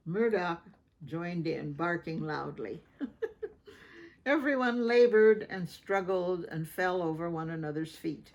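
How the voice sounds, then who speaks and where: Creaky-voiced woman reading a picture book. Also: fairly low pitch. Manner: expressive, informal asides, acts out dialogue. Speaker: children's picture book reader. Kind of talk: read-aloud storytelling with asides about pictures.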